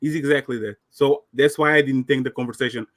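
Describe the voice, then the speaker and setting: A man's voice: mid-range voice, fairly even intonation, quick pace, no echo. A man from Portugal with a Portuguese accent, calling in and arguing insistently in broken English. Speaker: caller. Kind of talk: heated call-in debate.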